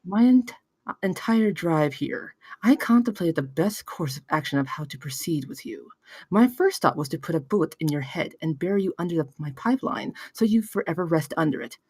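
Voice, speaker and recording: A woman's voice: on the low side, animated, a little fast, darkish tone, slightly rough, dry recording. A woman playing a smug, menacing, well-spoken male business tycoon in a table read, with a theatrical, mock-genteel manner. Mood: calmly menacing.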